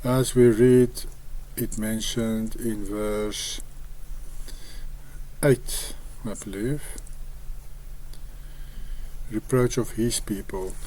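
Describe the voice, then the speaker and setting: Slow, hesitant Afrikaans-accented man. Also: on the low side, fairly even intonation, mellow, a little hiss or hum, poor audio. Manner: hesitant, halting with frequent pauses. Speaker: online Bible commentator. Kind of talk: reading scripture and commenting.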